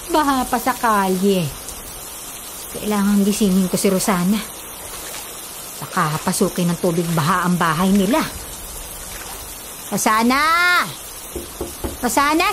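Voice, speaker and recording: A woman's voice: medium pitch, background noise, close to an everyday voice. A woman with a Filipino accent playing a fretful, concerned neighbour who exclaims and mutters to herself in a radio drama. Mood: calling urgently. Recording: loud rain sound effects.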